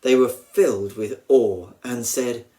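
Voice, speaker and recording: A man, a church minister, reading scripture aloud in a solemn, measured and expressive way with deliberate pauses. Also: medium pitch.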